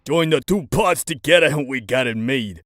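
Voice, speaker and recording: A man's voice: medium pitch, lively intonation, moderate pace, a bit bright, no echo, studio quality, natural voice. A man voicing a dim, obliging crook's henchman in a cartoon comic dub, hesitant and deferential. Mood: bluffing.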